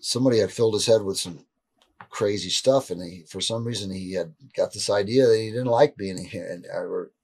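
A middle-aged man with a husky, somewhat low voice tells stories from a couch in a rambling, animated way. He restarts his sentences often as he talks to an interviewer indoors. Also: brisk. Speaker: interview guest. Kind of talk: casual storytelling in a shoot interview. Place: indoor room, seated on a leather couch.

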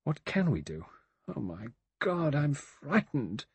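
A man's voice: mid-range voice, big pitch swings, slow pace, slightly rough, no echo, voice pushed up, heavily acted. A man voicing a frightened, desperate woman in an audiobook, with urgent and anxious pleading. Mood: helpless, frightened.

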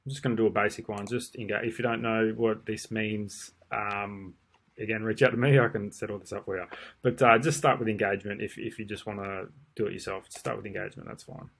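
Aussie guy in his 30s doing a screen share walkthrough, lowish voice, chill and rambly. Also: fairly quick, vocal fry, husky. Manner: relaxed, rambling, many ums and restarts. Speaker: marketing tutor. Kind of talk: casual screen-share tutorial. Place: indoors at a desk.

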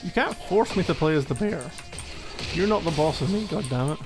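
A man's voice: on the high side, lively intonation, a little fast, over music, close to an everyday voice. A man playing a needy, playfully exasperated gamer boyfriend in a casual, intimate ASMR roleplay, teasing as he talks. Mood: playful exasperation. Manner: teasing, mock-exasperated, conversational.

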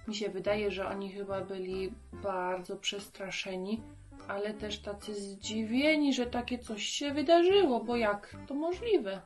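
A woman's voice: mid-range voice, a little slow, darkish tone, soft background music. A woman in her thirties presenting for children, chatting simply in a puppet dialogue. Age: 30s. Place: small book-lined room indoors.

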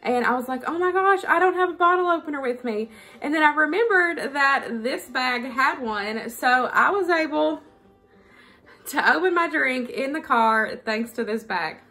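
A woman's voice: high voice, animated, moderate pace, bright, forceful tone. A woman, chatting casually and informally to camera as a vlogger. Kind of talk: casual chat to camera, product review and haul. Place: living room at home.

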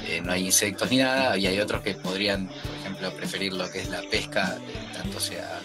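A man's voice: sits low, steady tone, fairly quick. A man in his thirties with an Argentine accent, explaining things in casual chat on a video call. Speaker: guest camping video creator. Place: indoor room, video call. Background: music.

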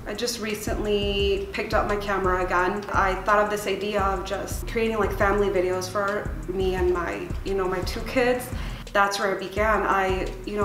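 A woman's voice: mid-range voice, a bit bright. A woman in her late twenties from El Salvador, raised partly in Chicago, talking casually and candidly in an interview, often saying like and you know. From El Salvador, moved to Chicago at age six. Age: late 20s. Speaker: music video creator being interviewed. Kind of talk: casual reflective interview talk. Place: small bright window-lined room, indoors. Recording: roomy echo with music underneath. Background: music.

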